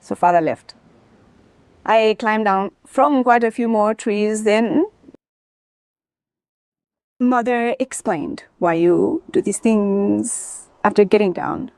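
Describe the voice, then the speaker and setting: A woman's voice: mid-range voice, slow pace, pauses often, a little mellow, clear, resonant tone, no echo. A woman, an interview guest telling personal stories and acting out quoted family dialogue as she goes. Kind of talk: personal storytelling.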